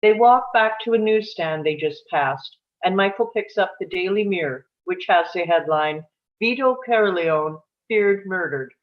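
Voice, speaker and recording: A woman's voice: lowish voice, lively intonation, a little slow. A woman reading scripted stage directions as the narrator of a table read, on dull lo-fi audio.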